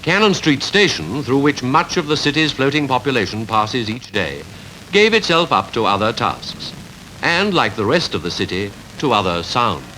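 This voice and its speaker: A man with a British Received Pronunciation accent reading scripted 1950s newsreel narration in a formal, measured, rhetorical way. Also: mid-range voice, very animated, pushed and bright, slight background noise, low-quality recording. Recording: 1951 archive newsreel with music behind.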